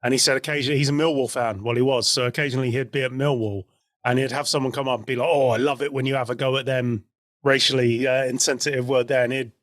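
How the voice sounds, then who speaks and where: A man's voice: mid-range voice, fairly even intonation, quick pace, fairly bright tone, dry recording, studio quality. A British man co-hosting a podcast, giving his opinions in casual, conversational speech. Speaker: podcast co-host.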